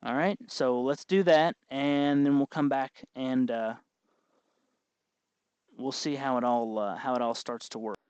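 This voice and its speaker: A man hosting an informal hands-on electronics tutorial, explaining step by step in a halting, folksy way. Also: mid-range voice, fairly even intonation, darkish tone, smooth, no room sound. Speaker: tutorial host.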